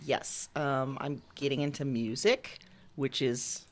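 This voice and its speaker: Female interviewee, low register. A writer talking informally and reflectively about their work, candid and conversational. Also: fairly even intonation, takes it slowly. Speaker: interviewed writer and audio drama creator. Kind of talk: casual interview answers.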